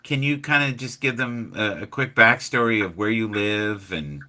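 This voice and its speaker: A man hosting a casual live chat show, speaking in halting starts with frequent restarts. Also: lowish voice, bright, forceful tone. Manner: halting, restarts, many fillers. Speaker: host.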